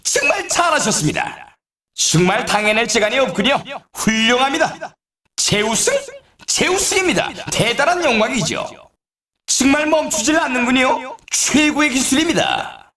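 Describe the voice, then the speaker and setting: An actor playing an excitable, teasing male snowboard race announcer in a video game, with polite, formal phrasing and playful sarcasm. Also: extremely high pitch, moderate pace, roomy sound, far from the mic, a crowd in the background, exaggerated voice. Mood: excited, celebratory. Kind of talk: scripted game sports commentary.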